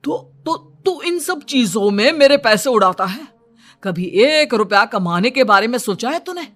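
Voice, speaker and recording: A stern middle-aged father from a cartoon moral story, acted by a man. The voice is bright and forceful, always nagging and exasperated, with faint music behind it. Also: very high voice, expressive, moderate pace. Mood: angry, suspicious. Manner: scolding, nagging.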